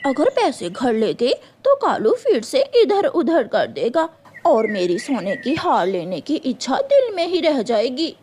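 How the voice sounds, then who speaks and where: A woman's voice: high-pitched, very animated, measured pace, darkish tone, slight background noise. A woman narrating a scripted children's cartoon story.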